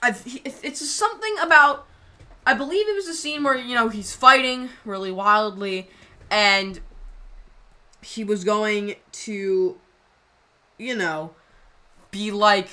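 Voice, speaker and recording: A woman creator talking casually over a video, rambling and halting with lots of fillers. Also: medium pitch, sharp tone. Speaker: channel creator.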